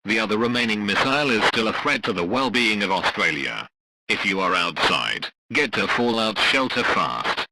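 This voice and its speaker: A man's voice: fairly low pitch, lively intonation, normal speed. A man playing a stern wartime announcer, reading a terse, formal emergency bulletin on a noisy radio-style broadcast. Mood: urgent, stern.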